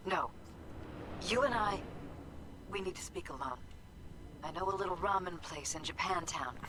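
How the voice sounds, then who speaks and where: A wary woman speaks on a filtered game call, her voice on the low side. She sounds guarded and insistent, with clear noise around her. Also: animated, moderate pace. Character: anxious, guarded woman calling in secret. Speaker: game character, client. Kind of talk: video game voice acting.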